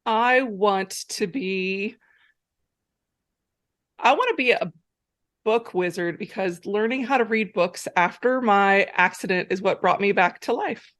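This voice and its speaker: A woman's voice: mid-range voice, a little slow, fairly bright tone. A woman hosting a podcast, mostly giving brief affirmations and short eager answers. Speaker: podcast host. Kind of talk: casual interview chat.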